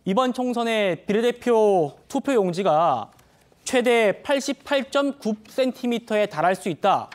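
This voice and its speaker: A man anchoring a TV news talk show in a studio, with a measured and formal presenter delivery. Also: high-pitched, animated.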